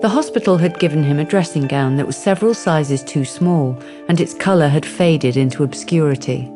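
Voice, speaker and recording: A woman's voice: deep voice, normal speed, over music. A woman reading scripted literary narration for a radio drama.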